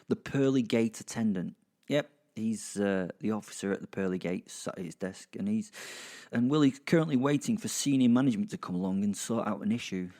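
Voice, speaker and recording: Chatty, low-voiced man rambling to listeners. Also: darkish tone. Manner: chatty, self-interrupting, dryly jokey, sweary. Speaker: podcast host and narrator. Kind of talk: casual rambling recap to listeners. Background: music under the voice.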